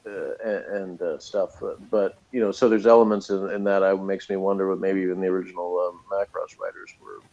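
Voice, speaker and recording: A man's voice: low voice, flat delivery, fairly quick, hardly any pauses, warm tone, dull audio. A man chatting casually and wryly as a podcast co-host, reading out notes and commenting on them.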